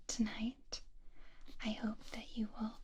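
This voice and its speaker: A woman voicing a gentle, bookish young woman who is doting and kind, speaking in a breathy whisper for an ASMR roleplay, soft, tender and reassuring. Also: fairly high pitch, monotone, slow pace.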